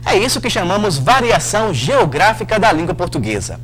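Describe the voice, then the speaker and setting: A man's voice: high voice, sing-song, fairly quick, fairly bright tone, slight background noise. A man hosting a televised lesson, narrating a script in an upbeat way and talking straight to the viewer. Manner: upbeat, addresses the viewer directly.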